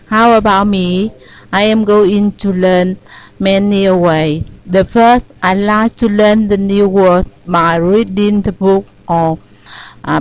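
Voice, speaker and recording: A woman from Vietnam with a Vietnamese accent, an adult English learner speaking haltingly and searching for words. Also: mid-range voice, a little mellow, poor audio.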